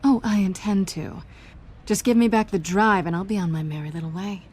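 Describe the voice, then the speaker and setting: A woman's voice: medium pitch, brisk, a little mellow, slight background noise, lightly acted. An actress voicing a sly, self-assured young woman thief in a cinematic video game, teasing and sardonic. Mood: cool, threatening.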